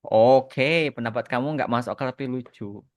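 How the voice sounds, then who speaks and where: A man's voice: medium pitch, moderate pace. An Indonesian man in a casual podcast Q&A, explaining things with repeated words for emphasis and slipping English phrases into his talk. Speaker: podcast host and animation author answering questions.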